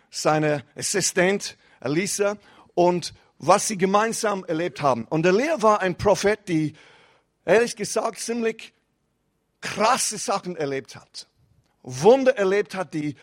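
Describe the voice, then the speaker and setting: Young adult male guest pastor, non-native speaker with a foreign accent, high voice, casual preaching style. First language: not German. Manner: casual, mixes English words, rising and falling emphasis. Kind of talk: sermon.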